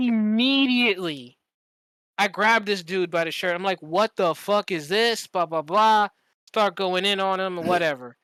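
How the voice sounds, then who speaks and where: This podcast host has a bright, clear voice in a very dry recording. The talk is casual and animated storytelling, full of slang and swearing, with quoted lines acted out in conversation. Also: mid-range voice, normal speed, dry recording. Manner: animated, profane, slangy, acts out quoted dialogue.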